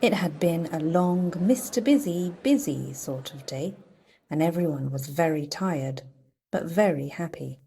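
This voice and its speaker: A woman narrates a children's storybook in a playful way. Her voice sits a little low, with a soft, husky edge, and she takes her time over each phrase. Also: expressive, unhurried. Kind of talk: read-aloud storybook narration.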